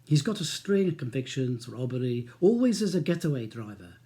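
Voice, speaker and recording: A man's voice: mid-range voice, big pitch swings, a little fast, mellow, close to an everyday voice. A man voicing a matey, wheedling East End solicitor in his sixties for an audiobook. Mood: brisk, confiding. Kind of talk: audiobook character dialogue.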